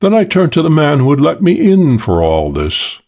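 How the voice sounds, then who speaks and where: A man voicing a suave, self-assured English gentleman thief telling a wry crime story for an audiobook, with old-fashioned British slang. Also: on the high side, wildly swooping pitch, moderate pace, full character voice. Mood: cool, matter-of-fact.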